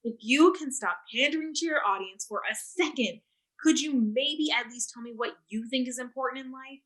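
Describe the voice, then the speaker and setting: An actress playing an earnest, accusing activist who challenges a talk show host, in a staged play reading on a video call. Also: female voice, sits high, normal speed, fairly bright tone, rough recording. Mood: insistent. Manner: accusing, insistent.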